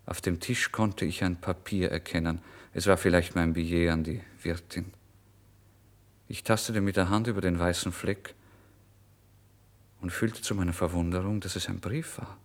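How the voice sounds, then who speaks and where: Penniless starving writer, low-pitched voice, theatrical first-person radio play narration, intense, overwrought. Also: male voice, steady tone, moderate pace, pauses often. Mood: brooding, tense. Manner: theatrical, intense, swinging between hushed and exclamatory. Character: starving, penniless male writer, overwrought and emotional, recounting his misery. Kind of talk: dramatic literary reading for German radio drama.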